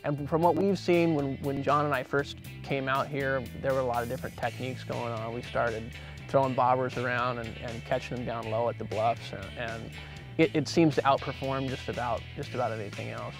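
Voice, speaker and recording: A man's voice: mid-range voice, steady tone, a little fast, vocal fry, music in the background, low-quality recording. A man, a fishing guide, talking casually in an interview outdoors on a river boat, often repeating words as he thinks.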